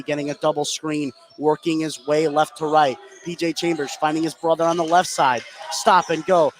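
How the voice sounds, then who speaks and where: A man calling live basketball play-by-play for a radio broadcast from a gym. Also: fairly high pitch. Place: indoor high school basketball gym, courtside. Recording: live gym broadcast, loud background noise. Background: loud gym ambience.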